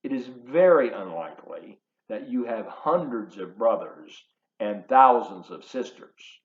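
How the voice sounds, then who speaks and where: Male volunteer storyteller for children, mid-pitched, emphatic and teacherly, with chatty asides; roomy, slightly dull recording. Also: animated, a little slow.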